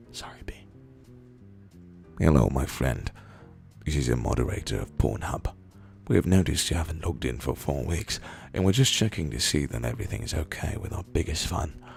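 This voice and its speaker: A man with a hoarse, raspy, rumbly voice, reading stories aloud in an unhurried, drawn-out way with pauses. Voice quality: raspy, rumbly, gravelly fry. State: hoarse from days of screaming. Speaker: narrator reading internet posts. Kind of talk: slow, low dramatic reading of short stories.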